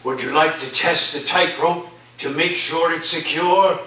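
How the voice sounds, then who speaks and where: A man's voice: on the high side, fairly even intonation, measured pace, sharp tone. A man playing an eccentric college professor in a staged play reading, formal, theatrical and earnest in delivery. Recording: echoey room from far off, noisy.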